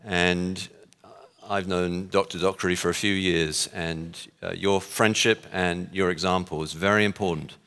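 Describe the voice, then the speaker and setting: A man's voice: low-pitched, steady tone, a little slow, a bit bright. A middle-aged man with a Texan accent, giving a speech to an audience as a guest speaker, with dry self-deprecating humor and frequent hesitations. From Texas. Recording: long hall reverb.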